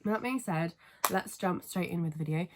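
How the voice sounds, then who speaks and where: A woman's voice: fairly low pitch, moderate pace, noisy background. Stuffy-voiced woman with a cold. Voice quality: stuffy, congested. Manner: rambling, filler-heavy, apologetic. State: has a cold, sniffly. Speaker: book vlogger. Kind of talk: casual chat to camera. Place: family home bedroom, indoors.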